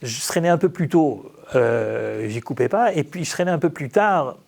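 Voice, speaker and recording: A man's voice: fairly high pitch, expressive, normal speed, gravelly, a little mellow. A man from France, a rabbi giving an informal religious talk in a conversational, humorous storytelling manner, with rhetorical questions. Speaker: rabbi teaching.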